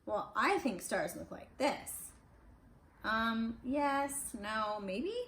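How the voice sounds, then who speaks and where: A woman's voice: a little high, expressive, very slow, a bit bright. A woman reading a storybook aloud to kids at home, breaking off for casual, chatty asides to a child. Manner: chatty asides to child between read passages. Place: indoors at home. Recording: roomy echo, clear background noise.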